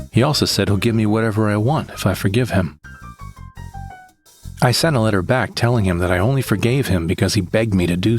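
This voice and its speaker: A man playing a frightened, bitter victim who recounts his ordeal in plain, short sentences for an audio drama monologue. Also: lowish voice. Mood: wary, resentful. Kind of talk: first-person scripted audio drama monologue.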